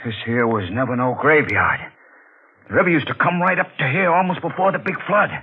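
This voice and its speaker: An actor playing a blunt, folksy rural digger in a 1940s radio drama, with a decisive way of talking. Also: male voice, fairly high pitch, a little fast, lightly acted. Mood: firm. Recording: noisy, tinny 1940s radio recording.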